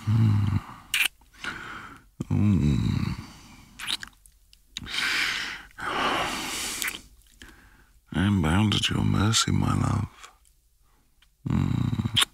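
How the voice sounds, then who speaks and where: A man playing an affectionate, playful young boyfriend in intimate ASMR roleplay, murmuring breathily with lingering hums and pauses, close to the mic. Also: low-pitched, sing-song, creaky, breathy edge, no room sound, exaggerated voice. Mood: yielding, aroused. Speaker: audio roleplay performer. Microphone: close, dry microphone.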